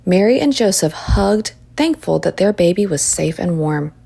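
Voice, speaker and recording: A woman reading a children's story aloud in expressive narration. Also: fairly low pitch, moderate pace, vocal fry, breathy edge.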